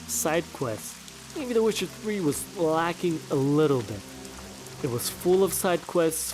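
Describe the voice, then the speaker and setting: Casual gamer man, halting, over music. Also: fairly high pitch, darkish tone. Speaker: let's play gamer. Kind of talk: casual gameplay commentary.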